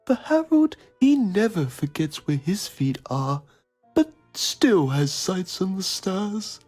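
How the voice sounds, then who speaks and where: A man's voice: sits high, big pitch swings, takes it slowly, darkish tone, soft background music, exaggerated voice. A man playing a pompous TV journalist, narrating a mock documentary in a solemn, melodramatic manner. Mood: grave, pompous.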